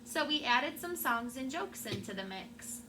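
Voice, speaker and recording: A woman teacher reading a picture book aloud to her class in an expressive storytelling way, with asides to the children. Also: high-pitched, measured pace. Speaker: elementary school teacher. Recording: clearly noisy classroom recording.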